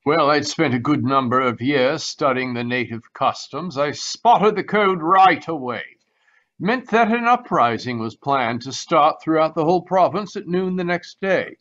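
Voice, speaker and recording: A haunted military man from a vintage radio drama, performed by a man. He speaks in a fairly high voice with formal, excitable theatricality. The audio is dull and lo-fi, as from a video call. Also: a little slow. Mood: proud, cordial. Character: haunted, proud retired colonel, paranoid about witchcraft. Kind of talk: 1940s radio mystery acting.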